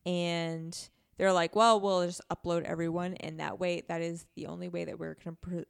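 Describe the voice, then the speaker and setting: A woman chats informally about a video game as a podcast guest. Her voice sits on the low side and has noticeable fry. She often slips into quoting her own thoughts. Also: level tone, moderate pace. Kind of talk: casual chat, discussing a video game.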